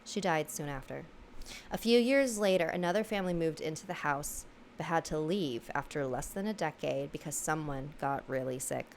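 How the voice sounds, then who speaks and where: A woman's voice: deep voice, moderate pace, a little hiss or hum. A young woman hosting, casually reading out viewer stories with giggles and lots of fillers between them. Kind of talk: casual read-aloud storytelling.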